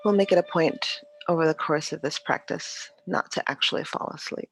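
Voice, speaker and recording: A yoga teacher leading a guided meditation in a calm, soothing, unhurried way with long pauses. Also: mid-range voice, vocal fry, slightly rough, dry recording, soft background music, poor audio.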